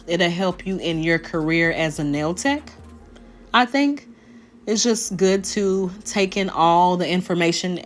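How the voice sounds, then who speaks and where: A woman, deep and a little raspy after a sinus infection, chatting through a nail tutorial voice-over and talking straight to her viewers. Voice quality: naturally deep voice. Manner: chatty, rambling, addresses viewers directly. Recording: faint background music.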